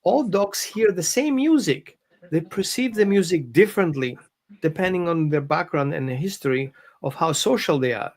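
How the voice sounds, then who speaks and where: High-voiced man. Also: animated, normal speed. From Greece and Austria. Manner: non-native phrasing, explains with examples and quoted dog thoughts. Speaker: dog behavior consultant. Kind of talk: explaining on a live show.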